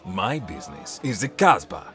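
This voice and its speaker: A man's voice: lowish voice, very animated, normal speed, bright, forceful tone, soft background music. A man playing a stern, unyielding military captain in an audio drama. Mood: defiant.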